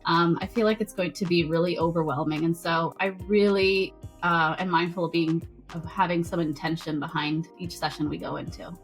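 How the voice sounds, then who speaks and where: A woman's voice: lowish voice, steady tone, normal speed, soft background music. A woman who grew up in Canada, a photographer guest on a podcast, explaining her work in a thoughtful, earnest way. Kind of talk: casual interview chat.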